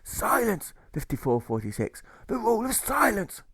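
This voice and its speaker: A man voicing a gruff, volatile old sailor turned jailer in an audiobook, with a stage pirate accent and blustering, exclamatory delivery. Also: high-pitched, wildly swooping pitch, moderate pace, fairly bright tone, dry recording, studio quality, pitched above the performer's own voice, full character voice. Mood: barking.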